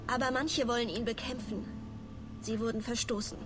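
An actress playing a confused, devout young castaway woman who speaks in simple, halting, earnest phrases. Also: fairly high pitch, steady tone, natural voice. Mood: anxious, earnest. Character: confused, devout female castaway with failing memory.

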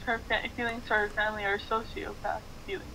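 A woman's voice: medium pitch, level tone, fast. A woman chatting casually as a friend reacting along on an online voice call. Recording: slightly noisy voice call.

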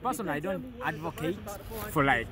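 A man's voice: fairly high pitch, expressive, fairly quick. A man from Uganda with a Ugandan accent, talking earnestly and agreeably at a street protest. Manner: earnest, agreeable, often murmuring assent. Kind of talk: street conversation. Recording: loud protest crowd behind.

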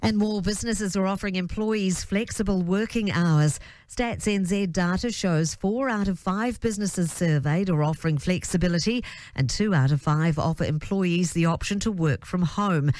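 A woman's voice: lowish voice, moderate pace, darkish tone, no echo. A woman with a New Zealand accent reads a scripted news bulletin as a radio newsreader. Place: dry radio studio.

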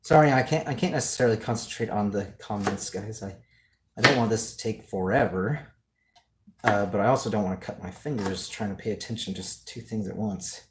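A man's voice: fairly low pitch, a little fast. A man hosting a casual cooking livestream from his home kitchen, talking off the cuff and jokingly as he works. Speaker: cooking show host. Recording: clearly noisy home kitchen livestream audio.

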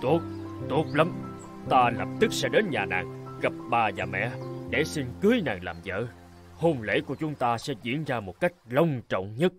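An actor playing a burly, boastful, hot-tempered royal guard and bridegroom in a cartoon folk tale, emphatic and demanding. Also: male voice, mid-range voice, big pitch swings, measured pace, over music. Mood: pleased. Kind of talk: animated folk-tale dialogue.

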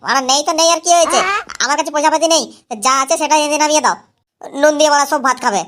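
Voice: sits high, racing, fairly bright tone. An actor voicing an irritable, lazy cartoon husband who grumbles, swears and brushes things off in comic style. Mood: irritated, dismissive. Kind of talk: exaggerated comedy cartoon voice acting.

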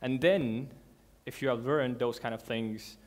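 Guy doing a conference talk in a big dark hall, earnest and kinda halting, lots of restarts. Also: mid-range voice. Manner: earnest, halting, with restarts and non-native grammar. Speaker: conference speaker. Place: large dark hall with a seated audience.

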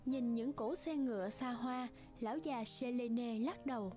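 High-voiced woman narrating a fairy tale. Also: unhurried, warm tone, faint music behind.

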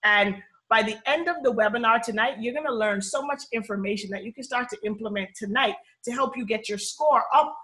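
A woman's voice: on the high side, a little fast, few pauses, bright, forceful tone. A woman in her thirties from the Bahamas with a Bahamian accent, hosting a live webinar on a video call from a small room at home, chatty and upbeat, often checking in with "okay?". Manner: chatty, upbeat, frequent "you know" and "okay?" check-ins. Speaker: personal finance webinar host.

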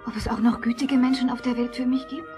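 A kind, meek young girl voiced by a woman in a fairy-tale radio play. Her high voice is sweet, earnest and polite, with faint music behind. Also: fairly even intonation, fast, mellow, close to an everyday voice. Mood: wistful. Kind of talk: German fairy-tale audio drama acting.

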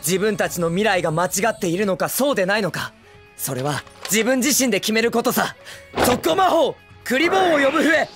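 Anime boy hero: excitable, brash teen, very lively melodic swings, eager exclamations, faint music behind. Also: sits high, brisk. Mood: challenging, encouraging. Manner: cocky, eager, exclamatory, boyish speech. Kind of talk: Japanese anime film acting.

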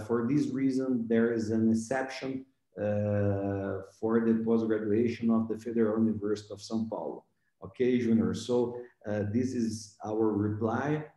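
A middle-aged man, the thesis advisor, giving a formal reply at a defense over a video call, pausing often with "uh". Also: on the low side, warm tone. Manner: halting, many "uh" fillers. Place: office indoors. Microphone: webcam on a video call. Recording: echoey office video call.

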